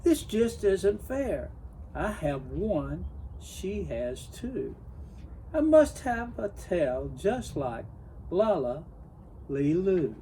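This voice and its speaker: A man voicing a jealous, vain young female bird from a storybook, excitable and whiny in exclaimed rhymes. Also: sits high, drawn-out words, a little hiss or hum, full character voice, low-quality recording. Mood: indignant pouting. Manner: exclaiming, boastful, whiny rhyming speech. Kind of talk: storybook character voice.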